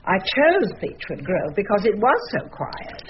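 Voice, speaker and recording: An actress playing a prim, defensive neighbour and spiritual healer giving earnest testimony in a radio drama. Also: female voice, mid-range voice, very animated, measured pace, muffled recording, slight background noise.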